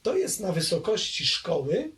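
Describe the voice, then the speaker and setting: A middle-aged man from Poland, slow and reflective, recalling his childhood in an oral history interview with many pauses. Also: high-pitched, very animated, fairly bright tone. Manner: hesitant, reflective, trailing off.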